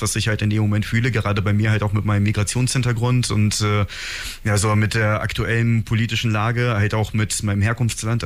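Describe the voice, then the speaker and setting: A man from Kazakhstan with a fairly deep voice talks loosely about his music. He circles around his points, dotting his sentences with little fillers and hesitations. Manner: rambling, heavy use of fillers like halt and äh. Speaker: rapper in a band. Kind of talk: casual interview chat.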